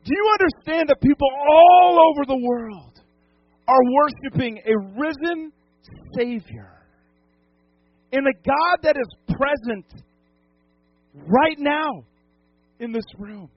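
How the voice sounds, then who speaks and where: A high-pitched male pastor from New England preaches to his congregation, pressing his points through repetition and direct questions. The recording sounds dull and lo-fi, as if taken in a church sanctuary. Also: expressive, takes it slowly, pauses often, no room sound. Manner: emphatic, repeats phrases, asks the congregation questions. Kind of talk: sermon.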